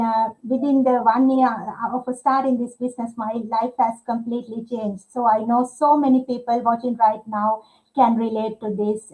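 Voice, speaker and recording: A woman from Sri Lanka in her thirties, hosting a live online interview and pausing often with filler sounds. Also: fairly high pitch, darkish tone, poor audio. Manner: hesitant, many filler uhs. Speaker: livestream host.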